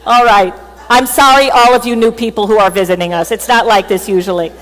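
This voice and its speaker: A woman's voice: medium pitch, brisk. Chatty woman pastor in a reverberant church. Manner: chatty, animated, laughs at herself. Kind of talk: sermon. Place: church stage with band gear.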